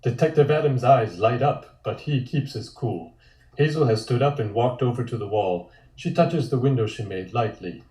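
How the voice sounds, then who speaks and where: Mellow male narrator in an echoey room. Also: mid-range voice, normal speed. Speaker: narrator reading stage directions. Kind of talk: scripted narration at a table read.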